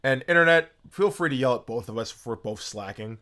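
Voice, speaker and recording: A man co-hosting a casual podcast, an excitable, joking fan who talks with a lot of enthusiasm. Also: medium pitch, a little fast. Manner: excitable, jokey fan talk. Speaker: podcast co-host. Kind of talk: casual chat.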